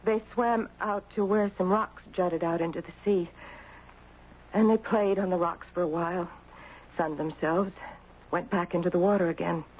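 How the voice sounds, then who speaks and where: An actress playing a gracious, melancholy mother, speaking in a hesitant, measured and dramatic way in a 1970s radio drama. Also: on the low side, steady tone, darkish tone, natural voice. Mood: grave, haunted. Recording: dull noisy 1970s radio broadcast.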